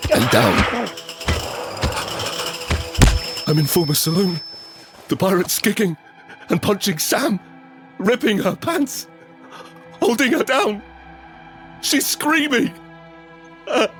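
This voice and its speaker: Desperate, high-pitched male survivor, music behind. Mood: panicked. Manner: urgent, fragmented, dramatic delivery. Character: desperate, frightened male survivor of a monster apocalypse. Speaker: narrator and lead character. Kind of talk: first-person present-tense audio drama narration.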